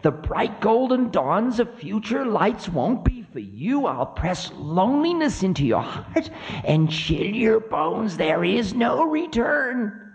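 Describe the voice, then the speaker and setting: A man voicing Kala as a cruel, indignant spirit of Time, shrill, menacing and taunting, in dramatic mythic storytelling. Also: very animated, slow, dull audio, slight background noise, heavily acted. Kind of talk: dramatic oral storytelling of myth.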